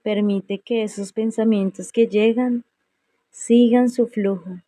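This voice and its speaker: A woman leading a guided meditation in a serene, unhurried way, leaving long pauses between phrases. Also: mid-range voice, fairly even intonation, dark tone, dry recording. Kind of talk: slow guided meditation narration.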